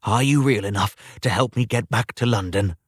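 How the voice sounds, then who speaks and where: A man's voice: fairly low pitch, normal speed, breathy edge, dry recording, studio quality. A man playing a bitter, bewildered old man in a storybook reading, with formal, old-fashioned speech. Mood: questioning.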